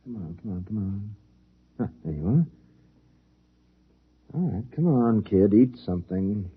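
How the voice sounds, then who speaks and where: An American actor playing a flippant, needling military biologist in a 1950s science fiction radio drama. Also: male voice, fairly low pitch, expressive, a little slow, husky, full character voice. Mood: absorbed, muttering. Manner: teasing, sardonic. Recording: dull lo-fi 1950s radio recording.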